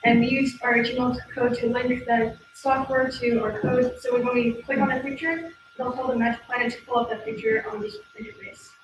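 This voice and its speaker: A woman's voice: on the high side, fairly quick, hardly any pauses. Young woman presenting in an echoey room. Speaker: student presenter. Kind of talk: team presentation with audience questions. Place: large echoey indoor room. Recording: echoey room from far off, background noise.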